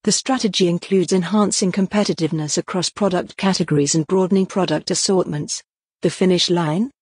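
Husky podcast narrator, dry sound. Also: medium pitch, fairly even intonation, fairly quick, a little mellow, no echo. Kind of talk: scripted business report narration.

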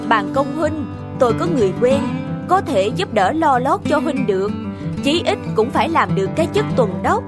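A woman's voice: sits high, sing-song, normal speed, over loud music. A woman playing a vain, pushy middle-aged male official in a cartoon folktale, insistent and boastful. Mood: persuasive.

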